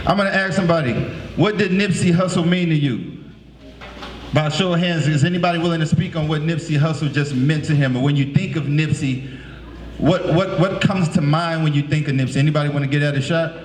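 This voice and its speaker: A man in his late thirties from the Bay Area talks like a preacher, asking a young crowd questions and answering them himself. His voice sits a little high for a man. It rings in an echoey room with a faint hum underneath. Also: fairly quick. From San Francisco Bay Area. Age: late 30s. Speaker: motivational speaker. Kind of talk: motivational talk to middle schoolers.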